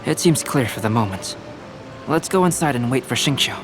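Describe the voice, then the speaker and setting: A man voicing a calm, analytical young man in a video game, speaking in a measured, formal, deliberate way. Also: medium pitch, over music, close to an everyday voice. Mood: cautious, analytical. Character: calm, analytical young male alchemist and illustrator.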